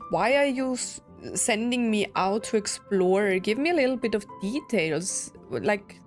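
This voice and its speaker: A woman's voice: medium pitch, lively intonation, unhurried, soft background music. A woman giving casual, slangy reaction commentary as she plays a game, thinking aloud. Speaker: gaming streamer.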